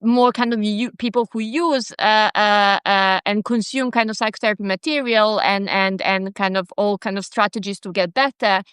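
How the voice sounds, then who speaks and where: A woman's voice: mid-range voice, steady tone, normal speed, few pauses, fairly bright tone, smooth, no room sound, clean studio sound. A woman hosting a conversational interview, hesitant and hedging, with many fillers. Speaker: podcast host.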